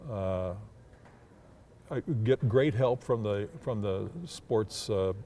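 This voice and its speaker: Older man, low mellow voice, deliberate. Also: a little hiss or hum. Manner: hesitant, deliberate, formal wording. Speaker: sports public address announcer. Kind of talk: reflective interview talk.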